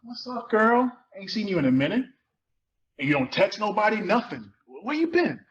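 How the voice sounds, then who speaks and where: A man's voice: sits high, very animated, normal speed, a bit bright, highs cut off. A man performing a cool, teasing teenage boy with casual, slangy speech in a dramatic reading of a novel. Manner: slangy, casual, dropped verbs.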